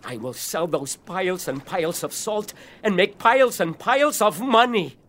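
Casting: an impatient, greedy older brother for a kids' audio drama, demanding and highly expressive. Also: male voice, moderate pace. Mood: scheming. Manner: demanding, blustering. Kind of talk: children's audio drama acting.

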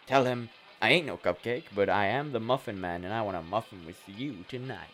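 A man playing an unhinged, childish, foul-mouthed vigilante killer in a dark comedic radio play, rambling theatrically between whispers and outbursts. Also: lowish voice, a little fast, faint music behind, natural voice. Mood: cheeky.

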